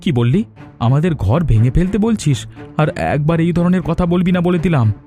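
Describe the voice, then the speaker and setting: A man's voice: a little high, animated, normal speed, dark tone, close to an everyday voice. A man playing a poor, injured village father in a moral-story cartoon, earnest, firm and full of dignity. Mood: indignant. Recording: music behind the voice.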